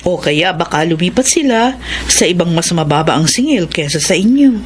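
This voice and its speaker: Woman playing helpful Filipina friend, lowish voice. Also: animated, moderate pace, close to an everyday voice. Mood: friendly, earnest. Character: helpful, public-minded woman friend and doctor. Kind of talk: Filipino radio drama acting. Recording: faint background noise.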